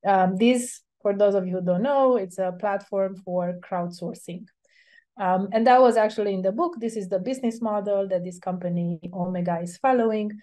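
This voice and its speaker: A middle-aged woman from Romania, giving an academic webinar talk over a video call in an earnest, measured way with hesitant pauses. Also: mid-range voice, dark tone. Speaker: invited speaker.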